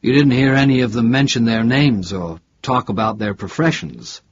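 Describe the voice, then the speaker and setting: A man voicing a polite, persistent male investigator in an audiobook, asking brisk, probing questions. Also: mid-range voice, muffled recording, close to an everyday voice. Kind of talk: audiobook character dialogue.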